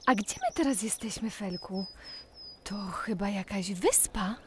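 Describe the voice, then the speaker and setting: A woman's voice: medium pitch, lively intonation, unhurried, background noise, close to an everyday voice. A woman playing an eager, curious little girl full of wonder in a children's audio play. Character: curious, enthusiastic little girl exploring with brother.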